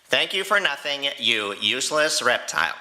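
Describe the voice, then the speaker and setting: A man voicing an angry, sarcastic young Viking dragon rider in an animated fan fiction audio drama. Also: mid-range voice, moderate pace, low-quality recording. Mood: furious, scolding. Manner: ranting, sarcastic, exaggerated.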